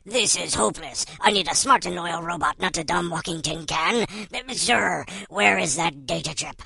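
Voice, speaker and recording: A woman's voice: lowish voice, normal speed, vocal fry, a crowd in the background. A woman voicing a blustering, easily exasperated would-be world conqueror in a comic story reading.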